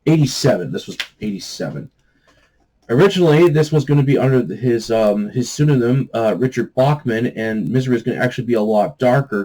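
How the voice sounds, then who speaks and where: A man with a lowish, very mellow, dark-toned voice hosts a book review vlog. He talks casually and off the cuff. His delivery meanders, halting often as he hunts for the next word. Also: low-quality recording. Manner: halting, meandering, searching for words. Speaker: host of a book review channel.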